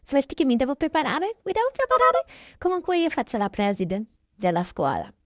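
High-pitched chatty woman, dull recording. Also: huge pitch swings, fairly quick, dry recording. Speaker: narrator voicing a toy-game roleplay video. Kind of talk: casual improvised roleplay narration of a daily routine.

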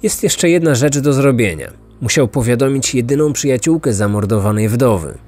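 A man's voice: medium pitch, animated, moderate pace, darkish tone. Man narrating true crime over music. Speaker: podcast narrator.

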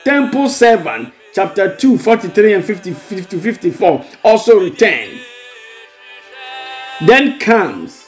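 A man's voice: high-pitched, sing-song, measured pace. A man with a Ghanaian accent preaching a devotional as a pastor, exhorting and repeating his phrases. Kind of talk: devotional sermon with prayer and blessings. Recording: music bed under the voice. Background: music.

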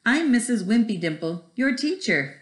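A woman's voice: a little high, very animated, normal speed, voice pushed up. A woman voicing a big, round female cat schoolteacher in a storybook, in a sing-song, teacherly way. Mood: cheerful. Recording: roomy echo.

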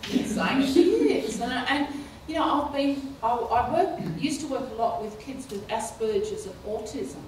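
A middle-aged woman with a slightly high voice, speaking slowly and haltingly on a panel, full of ums and restarts. She holds a handheld mic in a lecture hall, picked up from a distance with heavy room echo and faint background noise. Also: expressive, darkish tone. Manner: halting, many fillers and restarts, rising into laughing exclamations. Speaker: keynote speaker. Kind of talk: panel discussion.

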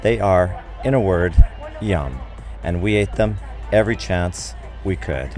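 A man's voice: sits low, lively intonation, unhurried, darkish tone, some chatter behind. A man reading scripted narration for a travel video as a voice-over. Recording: voice-over mixed with clear music. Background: music.

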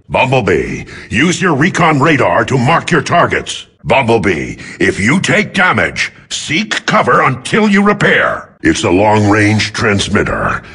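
An actor playing a noble giant robot leader in a video game, with a gravelly, husky voice and a solemn, authoritative, deliberate delivery. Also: male voice, lowish voice, a bit bright, exaggerated voice. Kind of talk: video game character acting.

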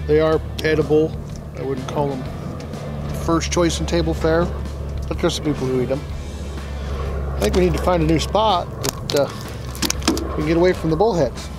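High-voiced man explaining tackle on a boat. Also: moderate pace, long pauses. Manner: matter-of-fact, instructive. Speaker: fishing guide. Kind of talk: on-the-water fishing show explanation. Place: small open boat on a lake, outdoors. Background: background music.

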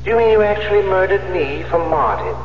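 An actor playing a calm, taunting dead husband on the phone, slow, deliberate and mocking, in a 1970s radio drama. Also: male voice, high-pitched, soft background music. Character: dead husband phoning his wife, calm, taunting, sinister. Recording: muffled reverberant phone voice, humming old radio.

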